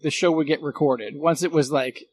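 A man's voice: on the high side, fairly even intonation, normal speed, darkish tone, husky. A man chatting casually as a guest, reminiscing earnestly and often rephrasing as he goes. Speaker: guest, former radio producer.

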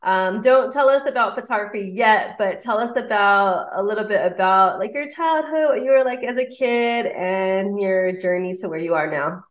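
A woman's voice: mid-range voice, moderate pace, hardly any pauses, reverberant. A woman hosting a podcast and coaching her guest, gushing and affectionate in casual chat.

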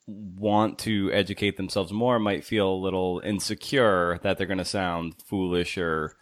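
A man's voice: sits low, fairly even intonation, measured pace, no room sound, studio quality. A man hosting a podcast interview, asking long, reflective questions that he builds up clause by clause in a conversational way.

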